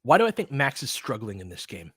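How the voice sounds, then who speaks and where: A man giving casual, analytical basketball commentary off the cuff, thinking aloud in a halting, conversational way. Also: medium pitch, huge pitch swings, breathy edge, dry recording, clean studio sound. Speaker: audio play-by-play host and basketball analyst. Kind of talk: casual off-the-cuff sports commentary, answering listeners.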